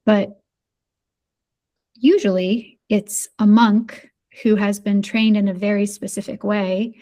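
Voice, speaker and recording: A woman's voice: mid-range voice, steady tone, takes it slowly, warm tone. A woman in casual podcast conversation, speaking thoughtfully and hesitantly with many fillers. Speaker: podcast guest.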